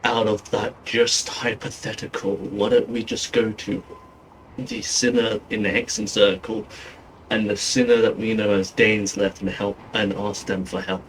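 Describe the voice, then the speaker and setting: A man giving casual, musing game commentary as a streamer, in a halting way. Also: lowish voice, level tone, slight background noise, rough recording. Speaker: gaming streamer.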